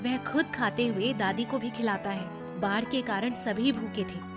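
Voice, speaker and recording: A woman's voice: a little high, normal speed, loud music behind the voice. A woman narrating a scripted moral bedtime story for children as the storyteller.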